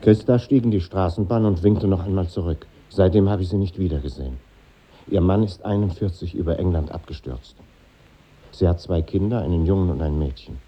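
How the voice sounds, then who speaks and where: An extremely mellow, husky, low voice narrates memories soberly. He is a reflective man in a 1950s radio drama. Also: a little fast.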